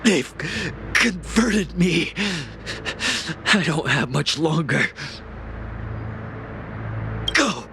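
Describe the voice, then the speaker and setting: A man's voice: a little high, animated, extremely slow, gravelly, pauses often, pushed and bright, a little hiss or hum, full character voice. A man voicing a desperate stricken soldier in a video game, strained and urgent as he loses control. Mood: anguished. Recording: music under the voice.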